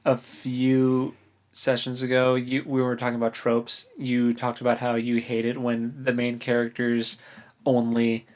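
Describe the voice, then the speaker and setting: A man's voice: medium pitch, completely flat delivery, measured pace, a little mellow. A man co-hosting a book podcast, recapping in a casual, conversational way.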